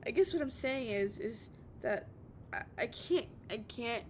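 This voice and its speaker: A woman playing a heartbroken, obsessive young woman in an intimate audio roleplay, pleading over the phone in halting, stammered, repeated phrases. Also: lively intonation. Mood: vulnerable, anguished. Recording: noisy recording with low rumble.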